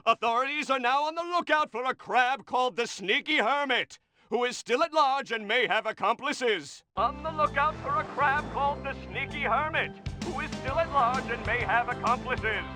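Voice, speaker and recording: Urgent cartoon news anchor, super high pitch, bulletin style, music going behind. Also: male voice, normal speed, bright, forceful tone, exaggerated voice. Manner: urgent, announcer-like. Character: urgent male cartoon newsreader. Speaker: newsreader.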